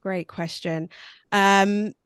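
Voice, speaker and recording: A woman's voice: medium pitch, steady tone, very slow, clear, resonant tone, no echo. A woman, presenting a career workshop over a video call in a conversational way with frequent ums. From born in Nigeria, raised in South London.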